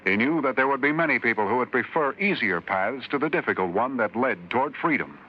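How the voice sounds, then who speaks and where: A male announcer reading an earnest public service message in a measured, solemn way. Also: medium pitch, lively intonation. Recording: dull old radio broadcast, faint hiss.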